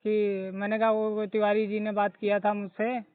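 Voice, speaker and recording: High-pitched, insistent man on a phone line. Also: completely flat delivery, moderate pace, clean tone, dry recording. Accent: North Indian, Uttar Pradesh. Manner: insistent, confrontational, lecturing. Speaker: complainant on a phone call. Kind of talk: argumentative phone conversation. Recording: tinny, narrowband recorded phone call.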